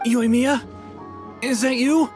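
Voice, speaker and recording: A man's voice: extremely high pitch, fairly even intonation, slow pace. Hesitant, earnest fugitive man over music. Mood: urgent. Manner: hesitant, polite. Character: earnest, apologetic man on the run, polite and uneasy. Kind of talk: video game character acting.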